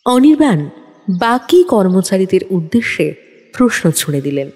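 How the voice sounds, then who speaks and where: A woman's voice: medium pitch, very animated, normal speed, darkish tone, breathy edge. A woman narrating a detective story from a script in a dramatic style. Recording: long studio reverb, music underneath.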